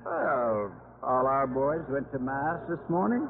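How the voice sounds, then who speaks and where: Pious clergyman, loud background noise. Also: mid-range voice, sing-song, takes it slowly, creaky, narrow, tinny audio, heavily acted. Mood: genial. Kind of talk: 1950s radio acting. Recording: very noisy, thin vintage radio recording.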